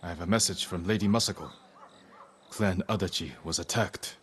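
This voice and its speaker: An actor playing a stern young samurai lord who speaks in a calm, clipped, formal way. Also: male voice, sits low, a little fast, a little hiss or hum. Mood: steady.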